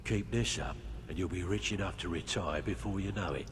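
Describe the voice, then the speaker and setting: An actor playing a matter-of-fact criminal fixer who hands out jobs in a fantasy video game. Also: male voice, low voice, fairly quick, lightly acted. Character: businesslike thieves' guild fixer handing out jobs. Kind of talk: video game character dialogue. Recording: clear noise behind the voice.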